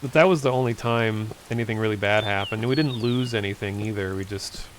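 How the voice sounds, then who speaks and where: A man streaming a game, casually answering chat and talking through play, hoarse from losing his voice after hours of talking. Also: lowish voice, brisk, slight background noise. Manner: relaxed, easygoing, answers chat questions. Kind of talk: casual gameplay commentary, reading chat.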